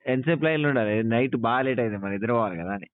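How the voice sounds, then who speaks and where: A man with a Telangana Telugu accent complains casually to a helpline. His voice is smooth and clear, and he talks quickly in a plaintive, insistent way. It is heard through a thin, narrow telephone connection. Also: medium pitch, no room sound. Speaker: customer calling a helpline. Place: on a phone line. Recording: tinny, narrow phone call recording.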